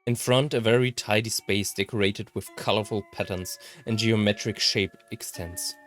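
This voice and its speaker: A narrator reading a first-person visual novel story aloud in a measured delivery. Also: medium pitch, steady tone, faint music behind.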